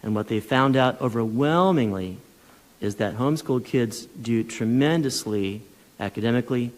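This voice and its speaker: A man testifying at a legislative hearing, speaking in a measured, persuasive way with small restarts. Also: medium pitch. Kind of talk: formal testimony. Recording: long reverb of a large hall.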